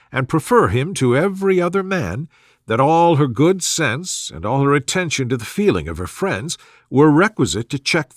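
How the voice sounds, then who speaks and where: A man's voice: medium pitch, dry recording, clean studio sound. A man narrating a classic story as a soothing, scripted bedtime reading. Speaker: audiobook narrator.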